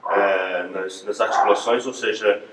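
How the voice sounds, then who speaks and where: A man's voice: on the low side, fairly even intonation, unhurried. A man with a European Portuguese accent interviewing conversationally, repeating words as he forms his thoughts. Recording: echoey room, distant mic, faint noise.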